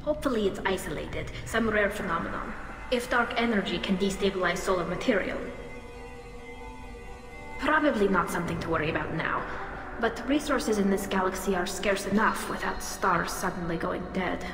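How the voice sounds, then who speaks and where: A woman's voice: medium pitch, normal speed, natural voice. An actress playing an earnest, principled young female alien engineer in a video game, speaking precisely. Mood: thoughtful. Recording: echoey hall reverb, music behind.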